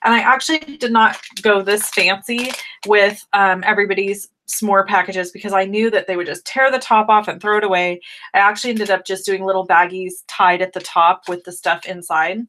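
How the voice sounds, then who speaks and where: Slightly raspy woman hosting a craft stream. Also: mid-range voice, steady tone, moderate pace, bright, forceful tone, slight background noise, rough recording. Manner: chatty, friendly, greets viewers by name. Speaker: stamping demonstrator hosting a live stream. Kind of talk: casual live craft tutorial.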